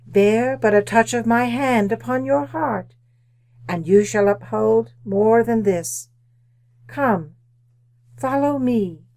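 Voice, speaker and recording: A slow, solemn female spirit. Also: on the high side, gravelly, darkish tone. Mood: calmly commanding. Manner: solemn, measured, formal. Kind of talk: old-time radio drama acting.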